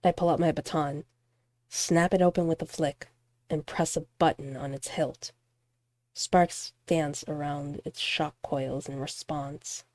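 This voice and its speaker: A woman reading a visual novel aloud as expressive narration, in the manner of a game player acting out a story. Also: low-pitched, a little slow, dry recording. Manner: acts out character lines while narrating.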